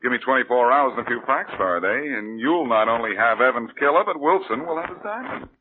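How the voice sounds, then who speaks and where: Cool, teasing sleuth, man acting it, fast and animated, 1940s radio drama on a thin broadcast line. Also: mid-range voice, a little hiss or hum, close to an everyday voice. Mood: calm, teasing. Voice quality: breezy, teasing. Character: cool, joking male private detective. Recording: tinny old radio broadcast.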